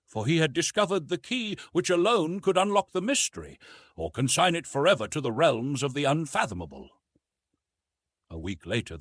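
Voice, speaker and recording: A man's voice: fairly high pitch, fairly bright tone, slightly rough, no echo, studio quality. A man giving scripted audiobook narration of a classic adventure novel.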